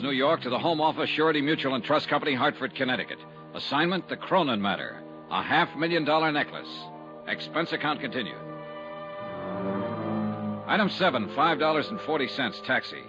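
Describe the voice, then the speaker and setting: An actor playing a tough, wry American insurance investigator who narrates and stars in an old-time radio detective drama. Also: male voice, mid-range voice, lively intonation, fairly quick, close to an everyday voice. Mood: dry, brisk. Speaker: lead character and narrator. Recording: vintage radio drama recording, faint music.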